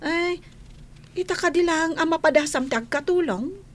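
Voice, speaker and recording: A woman's voice: extremely high pitch, normal speed, slight background noise. A woman with an Ilocano accent playing a teasing, gossipy housemaid in a radio comedy drama. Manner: teasing, drawling, giggly.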